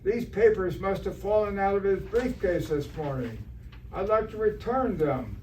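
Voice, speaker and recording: A man's voice: high voice, animated, moderate pace, darkish tone. A man playing an earnest, naive, fussy biology teacher in an amateur stage re-creation of a radio comedy. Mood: mild. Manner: fussy, earnest, literal-minded. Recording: distant stage recording, faint noise.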